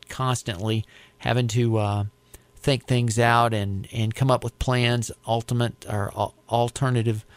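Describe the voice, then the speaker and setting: A man's voice: mid-range voice, no room sound. A middle-aged man with an American accent explaining his career in an informal interview, conversational, often repeating words as he thinks. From United States. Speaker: interviewee, air traffic controller.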